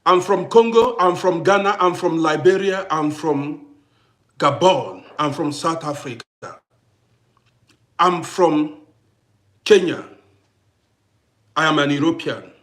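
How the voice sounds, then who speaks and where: Guy running a live stream, fairly high voice, shouts out viewers by name, loud then soft. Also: slow pace, long pauses. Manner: animated, greets viewers by name, repeats himself. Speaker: livestream host. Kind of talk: casual livestream chat with viewers.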